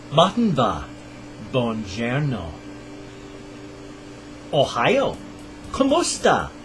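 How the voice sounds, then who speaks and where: A man's voice: medium pitch, poor audio. A middle-aged man hosting his own channel, chatting casually to camera in a rambling, self-deprecating way. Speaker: channel host. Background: guitar music.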